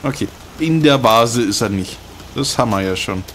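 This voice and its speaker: A man's voice: medium pitch, animated, moderate pace, fairly bright tone. A man giving casual, dry, joking commentary as he plays a video game. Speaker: let's player commenting on gameplay.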